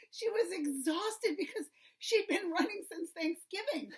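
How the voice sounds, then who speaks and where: A woman reading a children's story aloud, expressive and often dropping to a hushed, breathy tone. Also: very high-pitched, a little slow.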